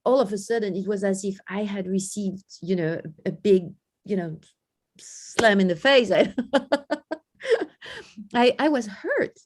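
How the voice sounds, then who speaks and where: Woman with a French accent. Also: mid-range voice. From France. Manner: earnest, emphatic, slows down on key points. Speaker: guest education researcher. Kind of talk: interview conversation.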